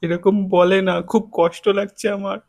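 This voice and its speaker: A man's voice: high-pitched, flat delivery, moderate pace, a little mellow. A man hosting a prank phone call, chatting casually in a teasing, needling, mock-lovelorn way. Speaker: prank-call video host.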